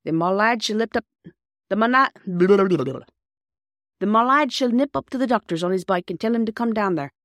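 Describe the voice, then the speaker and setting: A woman's voice: medium pitch, lively intonation, normal speed, a bit bright, no room sound, voice pushed up, close to an everyday voice. A woman voicing a practical grown farm bailiff with plain-spoken rustic dialect in a storybook reading. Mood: brisk, commanding.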